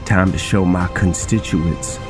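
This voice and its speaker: A man acts out a boastful, defiant vampire assassin from a fantasy game. The voice sits somewhat low, with flippant, theatrical swagger. Faint music runs underneath. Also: steady tone, measured pace. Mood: boastful, wary. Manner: cocky, theatrical. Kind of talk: scripted game roleplay dialogue.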